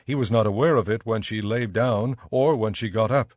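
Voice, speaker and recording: A man narrating scripture from a script in a measured storytelling manner. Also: fairly low pitch, no room sound, clean studio sound. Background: faint background music.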